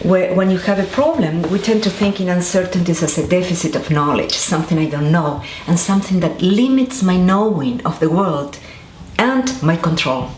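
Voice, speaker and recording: A woman's voice: fairly low pitch, moderate pace, hardly any pauses. A woman from Argentina, a scientist, answering interview questions thoughtfully with frequent restarts and fillers. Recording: echoey room with faint noise.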